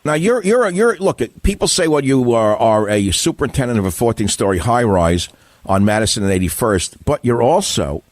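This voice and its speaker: A man's voice: mid-range voice, animated, normal speed, no echo. An older man with a New York accent hosting a radio call-in interview, asking combative, blunt questions with rising emphasis. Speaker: radio talk show host.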